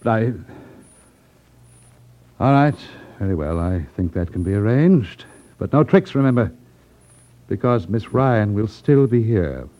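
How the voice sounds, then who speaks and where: An actor playing a menacing, smoothly pompous crime boss in 1950s radio drama style. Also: male voice, fairly low pitch, expressive, measured pace, long pauses, dark tone. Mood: wary, threatening. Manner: smooth, mockingly polite, pompous. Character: suave, menacing crime boss of a betting racket. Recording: dull 1950s radio recording.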